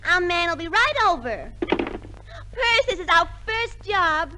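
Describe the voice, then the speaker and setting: A woman's voice: very high voice, big pitch swings, measured pace, bright, forceful tone, full character voice. An actress playing a brash, chirpy company telephone girl in a 1950s radio comedy. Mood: eager. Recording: noisy 1950s radio recording.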